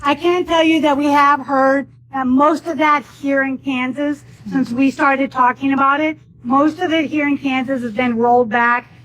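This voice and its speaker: A woman's voice: sits high, a bit bright, low-quality recording. A woman speaking at a public meeting, earnestly advising the audience.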